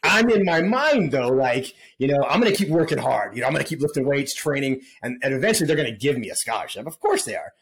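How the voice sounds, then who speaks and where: A man's voice: mid-range voice, sing-song, fast talker, few pauses, fairly bright tone, rough recording. A middle-aged man telling stories as a podcast guest in a casual, laid-back way, often saying dude and man. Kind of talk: casual storytelling interview.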